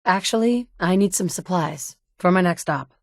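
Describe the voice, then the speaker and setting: An actress voicing a determined, pragmatic survivor in a video game, speaking firmly and directly. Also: female voice, fairly low pitch, normal speed, creaky, no echo, studio quality. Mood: casual. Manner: firm and direct, shifting between stern and sympathetic. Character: determined adult woman, pragmatic wasteland survivor. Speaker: player character. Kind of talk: game dialogue voice acting.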